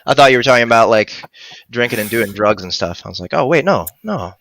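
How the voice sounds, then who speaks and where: A man's voice: mid-range voice, lively intonation, quick pace, creaky, a bit bright. A man in his thirties chatting casually as a guest on a live stream, speaking into a headset. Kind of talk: casual chat. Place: room at home, video call.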